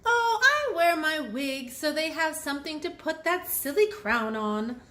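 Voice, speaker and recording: Woman playing a kindly old queen bee, high-pitched. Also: big pitch swings, a little slow, pushed and bright, echoey room, exaggerated voice. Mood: relaxed.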